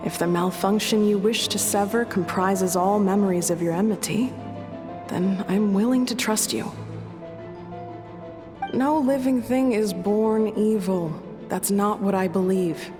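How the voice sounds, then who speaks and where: A woman playing a calm, principled, compassionate character in a fantasy video game, composed and earnest. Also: medium pitch, a little slow, close to an everyday voice. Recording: long reverb, faint music.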